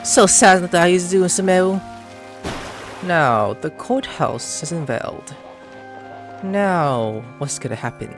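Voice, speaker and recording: A woman gives casual commentary as a gaming host. Her voice is on the low side and very animated, reacting informally over faint background music. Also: takes it slowly, pauses often.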